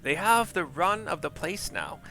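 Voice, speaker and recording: A man's voice: on the high side, very animated, unhurried, vocal fry, pushed and bright, heavily acted. A man voicing a crotchety old sorceress for a game, sardonic, brusque and imperious.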